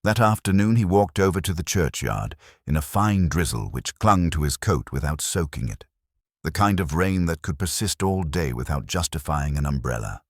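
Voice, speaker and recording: A man reads a story in an extremely low voice with lively rises and falls. His delivery is measured and formal, with a dry wit, and the sound is close and dry. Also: no echo. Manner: measured, formal, dryly wry. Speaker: narrator. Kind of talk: scripted story narration, audiobook-like.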